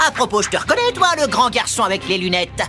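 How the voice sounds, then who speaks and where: Cartoon villain, reptilian fanatic: mocking, self-important, highly animated, over a music bed. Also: male voice, fast. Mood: boastful, fervent. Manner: theatrical, mocking. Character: taunting fanatical male lizard-man villain, theatrical and self-important. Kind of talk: French-dubbed anime acting.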